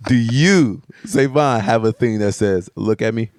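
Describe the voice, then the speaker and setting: A man co-hosting a podcast, casual and animated, quick to laugh and pose questions. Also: medium pitch, dry recording. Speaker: podcast co-host. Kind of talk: casual chat.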